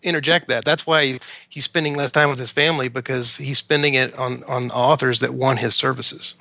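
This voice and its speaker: Bright, emphatic man in a dry recording. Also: medium pitch, fairly even intonation, normal speed, vocal fry, dry recording. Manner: emphatic, repeats words for stress. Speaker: author, podcast guest. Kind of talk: conversational interview talk.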